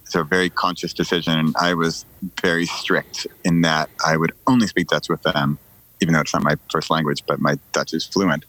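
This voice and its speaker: A man's voice: very deep voice, fairly even intonation, normal speed, sharp tone, rough recording. A middle-aged man, telling casual stories as a podcast guest in a joking, conversational way. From United States.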